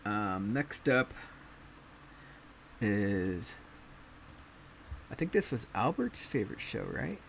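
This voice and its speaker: A man hosting a casual anime chat, talking in a relaxed way with many fillers and pauses. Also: lowish voice, creaky, a little hiss or hum. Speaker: podcast host.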